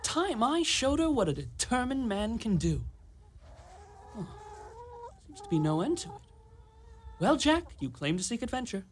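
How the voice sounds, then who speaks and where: A woman acts out an eager little boy in a radio drama. The delivery is lively and swooping, earnest and a touch theatrical, full of pluck. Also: a little high, a little slow, pauses often, slight background noise. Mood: resolute. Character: small boy, eager, plucky, hungry adventurer. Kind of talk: radio play acting.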